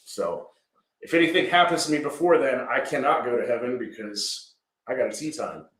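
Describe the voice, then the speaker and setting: A man's voice: medium pitch, animated, normal speed, a bit bright, roomy sound, poor audio. Earnest man in his forties. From Georgia. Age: 40s. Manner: earnest, rambling, frequent "right?". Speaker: landscaping company owner, guest. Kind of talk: casual podcast conversation.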